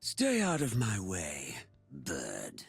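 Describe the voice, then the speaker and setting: A man voicing a sinister aristocratic mage villain in a video game, raspy and creaky, haughty and menacing. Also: deep voice, huge pitch swings, extremely slow, dry recording, clean studio sound, faint music behind, full character voice. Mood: disdainful.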